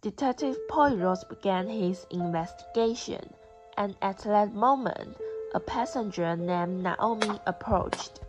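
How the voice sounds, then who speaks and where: A woman's voice: medium pitch, measured pace, poor audio. A young woman reading scripted narration for a student English radio drama. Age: young adult. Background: background music.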